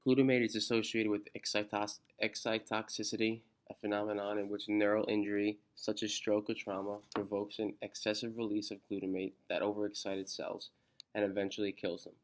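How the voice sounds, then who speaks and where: A congested man reads aloud from a study outline in a low, level voice with little rise and fall. He trips on technical terms and restarts them, keeping the same steady, monotone delivery throughout. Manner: halting, restarts on technical words. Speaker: student presenter.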